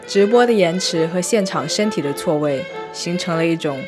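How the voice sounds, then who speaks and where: A woman narrating a scripted first-person arts audio log in a reflective, measured, literary manner. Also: lowish voice, expressive. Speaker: host. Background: music.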